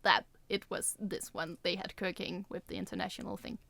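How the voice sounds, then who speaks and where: A woman's voice: mid-range voice, steady tone, normal speed, creaky, a bit bright, dry recording. A woman co-hosting a podcast in casual chat, playful and often correcting herself. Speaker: podcast co-host.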